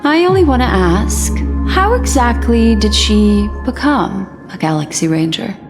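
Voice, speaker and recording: A woman's voice: fairly high pitch, expressive, unhurried. An actress voicing a curious young woman in a game cutscene, pressing with inquisitive questions. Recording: game audio with reverb over music.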